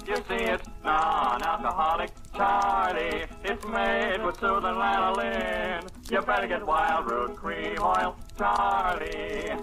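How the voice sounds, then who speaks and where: A man's voice: high voice, low-quality recording. A man singing a 1940s radio advertising jingle. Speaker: jingle singer. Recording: old radio recording with music.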